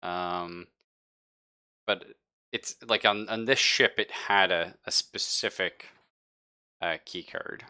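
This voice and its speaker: Deep-voiced, bright-toned male game master. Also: fairly even intonation, slow, long pauses, smooth. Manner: casual, profane, slangy. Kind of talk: improvised narration of a tabletop roleplaying game.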